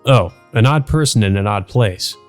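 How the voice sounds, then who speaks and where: A man's voice: lowish voice, lively intonation, moderate pace, faint music behind. A man reading a visual novel aloud as a let's play host, casually and unpolished, dropping in his own asides.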